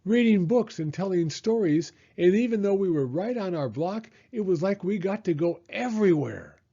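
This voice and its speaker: Man voicing an earnest young schoolgirl, raised lively pitch. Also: normal speed, husky, dull audio, full character voice. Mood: earnest, proud. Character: young schoolgirl sharing her summer story, earnest.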